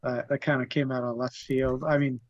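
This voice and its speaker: A man in a casual book discussion, laughing often and pausing with fillers. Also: medium pitch, level tone, no room sound. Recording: dull lo-fi mic.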